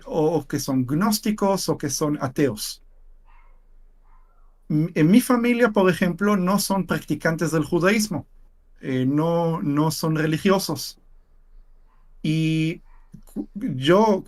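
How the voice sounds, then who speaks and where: A man from Israel, a native Hebrew speaker, tells his personal story to an interviewer. He speaks slowly, in a slightly raised voice, and stops often on fillers and repeated words. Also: fairly high pitch. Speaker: interview guest. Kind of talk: personal testimony in conversation.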